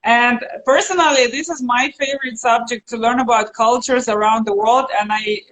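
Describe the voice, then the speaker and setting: A woman's voice: a little high, fairly even intonation, normal speed, fairly bright tone, rough recording. A middle-aged woman from Russia with a Russian accent, a native Russian speaker, chatting casually as an interview guest who teaches English.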